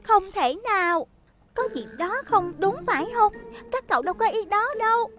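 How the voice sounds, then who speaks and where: A woman voicing a bubbly, self-satisfied little child in a cartoon dub, squeaky and excitable. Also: moderate pace, over music. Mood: worried.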